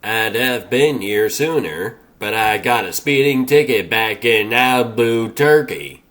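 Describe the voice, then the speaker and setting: A man voicing a slow-witted, folksy turtle superhero in a comic dub, with a rambling American Southern drawl. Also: lowish voice, expressive, a bit bright. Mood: easygoing. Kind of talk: comic dub character acting.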